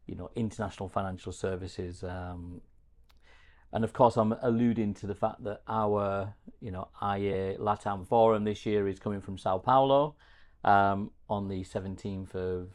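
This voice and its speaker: Man, podcast host, lowish pitch, casual interview style, hesitant, rambling phrasing. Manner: hesitant, rambling, circles around questions.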